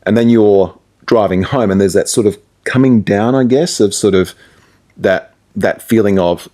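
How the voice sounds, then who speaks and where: A man's voice: lowish voice, moderate pace, warm tone. An Australian man, a radio presenter, chatting casually as an interview guest in a rambling, reflective, anecdotal way. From Perth, Australia.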